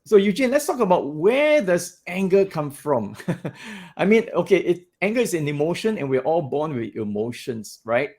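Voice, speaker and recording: A man hosting a podcast, talking in a loud, animated way and laughing often. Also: on the high side, normal speed, few pauses. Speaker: podcast host. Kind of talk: casual interview chat.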